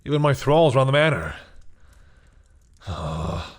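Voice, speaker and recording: A man playing a commanding vampire in an audio roleplay, haughty and deliberate, menacing yet reluctantly caring toward humans. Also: mid-range voice, very animated, creaky, no room sound, studio quality. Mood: conflicted, subdued. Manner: haughty, deliberate, often drops into hushed brooding. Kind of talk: dramatic audio roleplay addressed to the listener.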